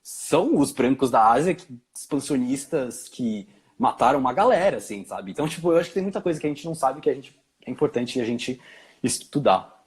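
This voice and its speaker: A man's voice: mid-range voice, animated, slightly rough. A young adult man with a Brazilian Portuguese accent, chatting loosely as a guest in a live interview. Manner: loose, chatty, quick bursts with fillers like "tipo". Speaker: guest, filmmaker and actor. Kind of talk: casual live interview chat.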